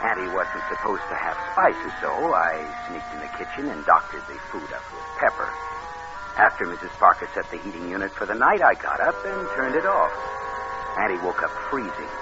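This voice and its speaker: An actor playing a cold-blooded, calculating con man, narrating and talking smoothly in 1940s radio thriller style. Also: sits low, sing-song, a little fast, music in the background. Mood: cold, matter-of-fact. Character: scheming cold-blooded male con man posing as nephew. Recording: noisy, dull old radio recording.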